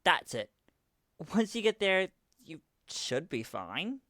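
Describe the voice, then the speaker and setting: An actor playing a guarded, serious man who has faced a monster before, explaining deliberately in an audio drama. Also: high voice, big pitch swings, a bit bright, no room sound, clean studio sound, exaggerated voice. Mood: grave, knowing.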